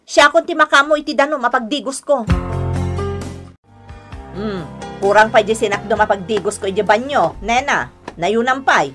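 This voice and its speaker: A woman acting a lazy, scolding young wife and harsh aunt in a radio drama, sharp and complaining. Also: a little high, lively intonation, normal speed, long pauses, over music, natural voice. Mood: bossy, demanding.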